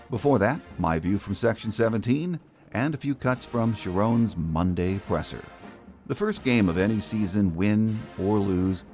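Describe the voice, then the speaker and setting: A man's voice: low-pitched, darkish tone. A man hosting a sports podcast, delivering scripted narration. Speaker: podcast host.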